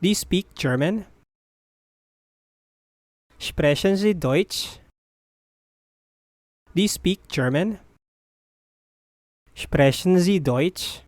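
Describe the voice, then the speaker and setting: A teacher's voice slowly repeating short phrases in a language drill, each one said clearly and evenly. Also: mid-range voice, lively intonation, darkish tone, no echo. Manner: deliberate, evenly paced repetition of short phrases.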